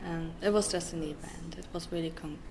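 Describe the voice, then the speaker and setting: A woman with a German accent, German being her first language, talking haltingly as an interview guest, with many pauses and restarts. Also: fairly low pitch, noisy background. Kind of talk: personal interview.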